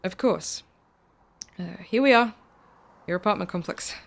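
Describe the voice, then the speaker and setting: Calm, knowing woman with a low voice. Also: measured pace, gravelly. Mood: cool, assured. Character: mysterious, calm, insistent woman driver, secret protector. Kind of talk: audio drama acting.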